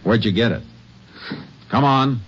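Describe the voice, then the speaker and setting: An actor playing a stern, no-nonsense Texas Ranger, terse and authoritative, in an old radio drama. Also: male voice, medium pitch, measured pace, a bit bright, breathy edge. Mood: stern, probing. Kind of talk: 1950s radio drama acting and narration. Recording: muffled, noisy old radio recording.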